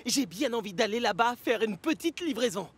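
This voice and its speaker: An actor voicing an excitable, eager young talking locomotive in a French-dubbed children's cartoon. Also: mid-range voice, fairly quick, husky. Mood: excited, eager.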